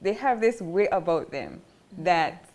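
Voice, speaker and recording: A woman's voice: on the low side, animated, measured pace, darkish tone. A woman hosting a casual panel talk show, telling stories in a chatty way and laughing as she talks. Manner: chatty storyteller, laughs while talking.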